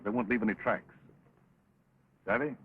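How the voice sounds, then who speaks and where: An actor playing a sly, bossy American cattle-rustler leader in an early talkie western, rough-edged and commanding. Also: male voice, fairly low pitch, normal speed. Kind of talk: early 1929 talkie western film acting. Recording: very muffled 1920s film soundtrack.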